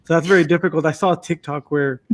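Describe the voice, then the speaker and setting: Higher-pitched man chatting on a panel. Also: fairly even intonation. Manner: halting, acts out short quotes. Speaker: children's author, English teacher, panelist. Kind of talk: casual chat.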